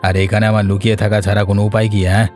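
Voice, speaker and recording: A man's voice: lowish voice, little pitch movement, very fast, darkish tone, soft background music, lightly acted. A man playing a wary, grave former bandit in hiding, in a cartoon fairy tale. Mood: weary, resolute. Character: wary male fugitive ex-bandit hiding out, called uncle.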